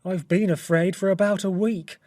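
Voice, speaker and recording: A man's voice: high voice, steady tone, moderate pace, darkish tone, dry recording. Anxious butler acted by a man for audiobook drama: servile, dogged, frightened, recorded dry.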